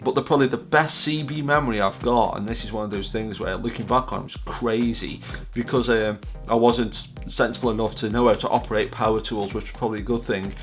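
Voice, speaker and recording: A man's voice: fairly low pitch, steady tone, fairly quick, faint music behind. A British man in his forties chatting casually in a rambling, self-deprecating way, like a vlog host reminiscing.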